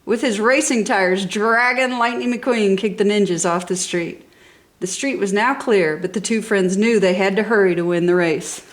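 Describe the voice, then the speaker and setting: A woman's voice: mid-range voice, moderate pace. A woman reading a children's story aloud with enthusiasm and dramatic emphasis. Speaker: storybook reader. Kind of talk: expressive children's story narration.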